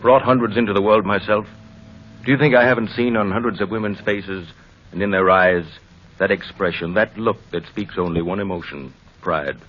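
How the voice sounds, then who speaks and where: An actor playing an older, fatherly, opinionated family doctor in an old time radio drama, oratorical, with dramatic pauses. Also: on the low side, fairly even intonation, lightly acted. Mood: emphatic, lecturing. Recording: muffled old radio recording, faint noise.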